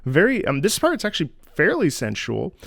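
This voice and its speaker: A man analysing music for a reaction video. His voice sits slightly high and swings expressively as he talks. It is recorded close and dry. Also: normal speed, gravelly, dry recording. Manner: expressive, breaks into scat-singing to illustrate rhythms. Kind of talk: enthusiastic music analysis commentary.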